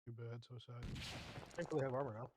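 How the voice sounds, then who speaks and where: A man's voice: mid-range voice, fairly even intonation, fast talker, darkish tone, dry recording. A man playing a tabletop game, chatting casually in a blunt, sweary way. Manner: profane, blunt. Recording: slightly muffled dry call audio.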